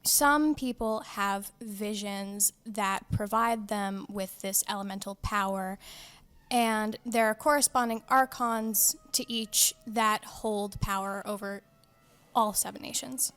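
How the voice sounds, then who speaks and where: A woman's voice: medium pitch, fairly even intonation, slow, a bit bright, clear, resonant tone. A young woman chatting casually as a podcast guest, explaining things in a playful, slangy way.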